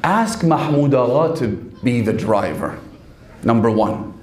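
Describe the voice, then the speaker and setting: A man in his thirties preaching a sermon at a stand mic, telling a story with lively gestures and dropping his voice for quoted speech. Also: a little slow. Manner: animated storytelling, quoting in a lowered voice. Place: hall with red banner. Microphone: stand mic in front. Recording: echoey hall.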